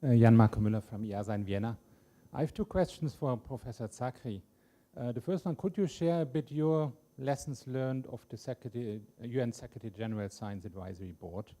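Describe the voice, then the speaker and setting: Male audience questioner, concise delivery, very soft dark timbre. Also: medium pitch, a little fast.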